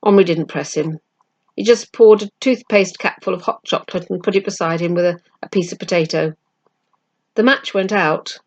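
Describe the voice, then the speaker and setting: A woman reading a children's story aloud with measured narration. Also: lowish voice, darkish tone. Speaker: storybook reader.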